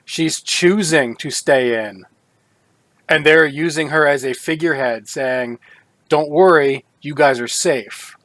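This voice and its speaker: A man co-hosting a casual gaming podcast, talking hesitantly with many restarts and quiet trailing off. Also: fairly high pitch, slow, dry recording. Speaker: podcast co-host.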